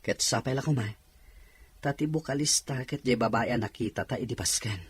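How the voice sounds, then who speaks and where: An actor playing a respectful young nephew in a radio drama, soft-spoken and deferential. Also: male voice, medium pitch, a little fast, breathy edge, dry recording. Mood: polite, hopeful. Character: respectful young nephew, shyly eager about his birthday party.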